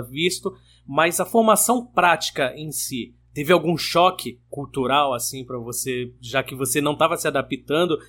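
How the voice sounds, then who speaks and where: A man's voice: medium pitch, a bit bright. A Brazilian man co-hosting a podcast, asking the guest detailed questions. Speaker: podcast co-host. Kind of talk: casual podcast interview.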